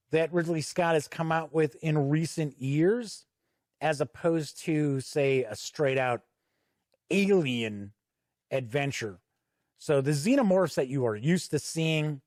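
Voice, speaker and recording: Slow, deliberate man reviewing a product. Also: on the high side, a little mellow, no room sound, clean studio sound. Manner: measured, explanatory, with deliberate pauses. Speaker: host and reviewer. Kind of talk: unscripted product review walk-through.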